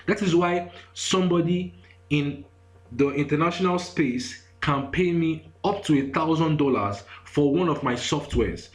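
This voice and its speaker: A man's voice: fairly high pitch, poor audio. Nigerian man teaching an online class. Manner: repetitive, keeps asking "you get me?". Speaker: online course instructor. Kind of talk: screen-share lesson.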